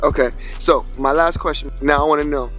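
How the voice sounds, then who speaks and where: A man's voice: a little high, fairly even intonation, brisk, fairly bright tone, faint music behind. Playful young American man on video chat. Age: 20s. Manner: playful, teasing, laughs often. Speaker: vlogger chatting with strangers. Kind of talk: casual video chat with strangers.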